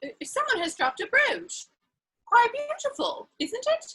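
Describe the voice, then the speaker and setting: Woman playing a very high, bright young lady. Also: big pitch swings, a little slow, heavily acted, poor audio. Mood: spirited, playful. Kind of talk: dramatic reading of a period stage comedy.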